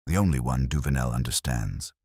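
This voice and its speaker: A man reading as a deep, shrewd Victorian detective. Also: brisk, a little mellow, breathy edge, dry recording, studio quality. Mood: commanding, strategic.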